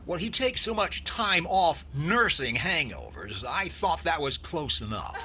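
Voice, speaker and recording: A man playing a fussy, penny-pinching, exasperated radio comedy star with deadpan, defensive delivery in classic sitcom style. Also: fairly high pitch, unhurried, full character voice. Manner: deadpan, put-upon, defensive. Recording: very noisy recording with ambience.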